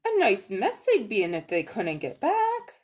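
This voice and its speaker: Woman playing an indignant young boy, raised pitch. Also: wildly swooping pitch, measured pace, darkish tone. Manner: blunt, accusing. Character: blunt, indignant young boy, nephew of the magician.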